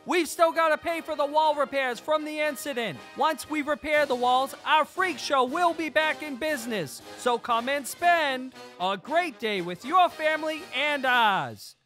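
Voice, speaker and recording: An actor playing a sleazy pitchman for a roadside animal park in a parody radio ad, rambling in folksy salesman patter. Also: lively intonation, a little slow, few pauses, bright, forceful tone, faint music behind, full character voice. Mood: salesy. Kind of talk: parody local radio ad read.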